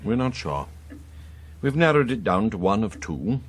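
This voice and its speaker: A composed British intelligence man in a radio play. His voice is low and husky, yet varied in pitch. He speaks with clipped, discreet courtesy. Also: big pitch swings, normal speed. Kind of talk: radio drama acting.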